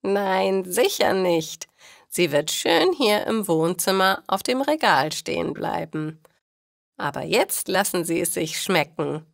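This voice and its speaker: A woman's voice: on the low side, sing-song, takes it slowly, creaky, dry recording, clean studio sound. A woman reading a scripted story for language learners, including its dialogue.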